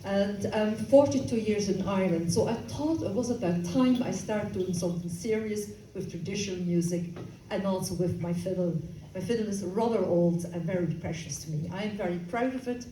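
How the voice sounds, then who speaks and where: A mellow-voiced Flemish woman in her fifties introduces tunes at a live concert and chats easily with the audience. She sits well back from the microphone in a roomy hall, with a faint murmur of the room around her. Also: mid-range voice, normal speed, slight background noise. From Flanders, Belgium. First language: Dutch. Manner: chatty, explains history, addresses the audience directly. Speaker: student and concert presenter. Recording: roomy, from a distance, faint ambience.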